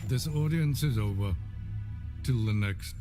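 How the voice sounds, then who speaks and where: An actor playing a cold, haughty middle-aged emperor in a video game, speaking in a formal, commanding, curt way. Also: male voice, lowish voice, unhurried, over music, close to an everyday voice. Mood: cold, dismissive. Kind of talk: video game cutscene acting.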